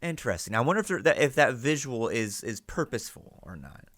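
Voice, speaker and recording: A man giving casual, animated reaction commentary, thinking aloud and prone to swearing. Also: mid-range voice, fairly quick.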